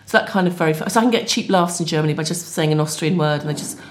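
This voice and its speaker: A woman from Britain, a stand-up comedian, chatting fast and laughing easily as a podcast guest. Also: deep voice. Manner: fast-talking, quick laughs. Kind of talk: casual interview chat.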